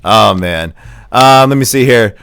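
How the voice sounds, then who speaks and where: A man's voice: mid-range voice, animated, unhurried, gravelly, a bit bright, a little hiss or hum. A young man from Pennsylvania hosting a casual live-stream podcast, reading viewer comments aloud and laughing often. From Pennsylvania, USA. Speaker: podcast host.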